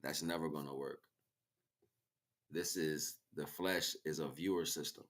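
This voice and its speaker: A man's voice: very deep voice, fairly even intonation, a little slow, a bit bright. A man giving an impromptu spiritual monologue as a teacher, speaking directly to the listener and repeating phrases for emphasis.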